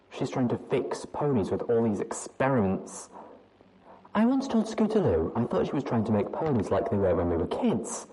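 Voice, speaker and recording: A guilt-ridden young mare pony talks in halting, rambling bursts, second-guessing every thought, as a woman reads her aloud from a storybook. The voice sits very low and mellow yet leaps up and down with lively swings. A faint hiss lingers behind it. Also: exaggerated voice, rough recording. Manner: rambling, hesitant, self-doubting. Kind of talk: audiobook reading of fan fiction.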